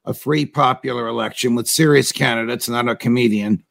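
A man's voice: mid-range voice, a little fast, vocal fry, fairly bright tone. A man hosting an interview, asking pointed, leading questions and summing up events before he puts them to his guest. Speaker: interview host.

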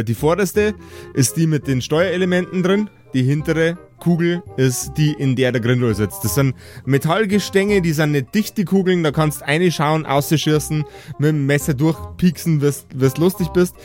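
A man acting as game master, describing scenes off the cuff in a casual, halting way. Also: fairly high pitch, animated, a little mellow, soft background music.

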